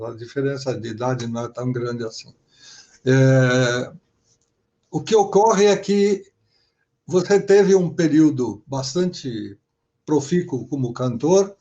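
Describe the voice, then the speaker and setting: Mellow older Brazilian man, slightly muffled. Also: medium pitch. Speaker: interviewer, host. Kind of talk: casual conversational interview.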